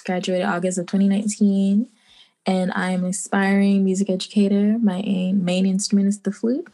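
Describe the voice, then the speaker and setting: A young woman from the Bahamas, in her twenties, answers interview questions in an easygoing way. Her voice has a lot of vocal fry, and she hesitates with ums and laughs softly. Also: medium pitch, flat delivery, normal speed. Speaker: podcast guest. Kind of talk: casual interview.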